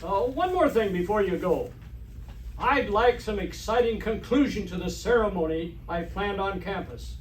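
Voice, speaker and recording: An actor playing a pompous, vain, bossy middle-aged principal in old-time radio comedy, formal and blustery. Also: male voice, high voice, lively intonation, normal speed, distant mic. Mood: self-satisfied. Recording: roomy stage recording from afar, hiss.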